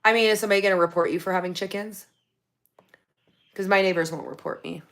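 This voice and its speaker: A woman hosting a casual livestream from her phone, reading viewer comments, her voice going raspy from talking so long. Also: mid-range voice, fast. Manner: chatty, excited when thanking viewers for gifts. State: losing her voice, getting raspy. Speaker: livestream host.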